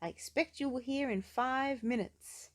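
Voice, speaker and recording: A haughty rich gentleman from a children's storybook, acted out by a woman narrator. He is pompous and bossy, with a raised, forceful delivery. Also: sits high, unhurried, pitched above the performer's own voice. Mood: pompous, demanding.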